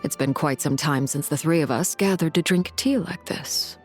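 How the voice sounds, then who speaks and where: A woman's voice: sits low, moderate pace, soft background music, natural voice. A woman voicing a composed, formal noblewoman in a game, gracious and controlled. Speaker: game character.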